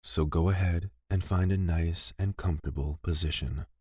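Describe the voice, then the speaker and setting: A man leading a scripted guided meditation in a gentle, soothing and deliberate manner, pausing between phrases. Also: deep voice, breathy edge, dry recording, clean studio sound.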